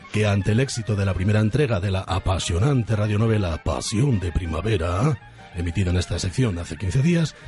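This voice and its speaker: A man's voice: lowish voice, warm tone. A man with an accent from Spain, narrating comic radio in a theatrical, mock-grandiose manner. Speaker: radio segment host and narrator.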